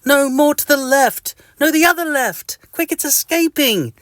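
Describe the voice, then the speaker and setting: A man's voice: extremely high pitch, measured pace, fairly bright tone, no echo, studio quality, voice pushed up. A man voicing a fed-up, itchy adult, whining and exasperated. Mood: whiny. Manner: whiny and exasperated.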